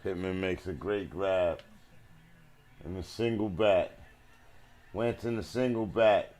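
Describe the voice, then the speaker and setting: A man's voice: deep voice, slow pace, a little mellow. A man doing live American football play-by-play commentary in short, colloquial phrases. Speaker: play-by-play sports host.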